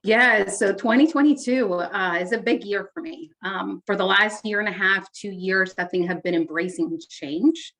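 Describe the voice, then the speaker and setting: A woman's voice: medium pitch, moderate pace, hardly any pauses, a bit bright, poor audio. A woman in her forties from Honduras, a Spanish native speaker, talking in an earnest, reflective way with frequent fillers and restarts as an interview guest. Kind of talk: casual interview conversation.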